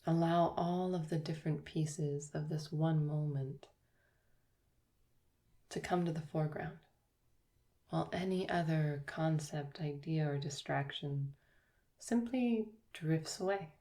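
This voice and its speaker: A woman yoga teacher guiding a yoga nidra relaxation, speaking slowly and softly with long pauses. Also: sits low. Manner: slow, soft, soothing guidance with long pauses.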